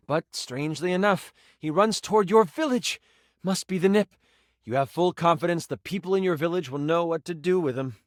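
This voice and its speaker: A man's voice: on the high side, fairly quick, no echo, studio quality, lightly acted. A man playing a playful game master, narrating a fantasy tabletop adventure in a theatrical, dramatic storytelling style. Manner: theatrical, second-person storytelling.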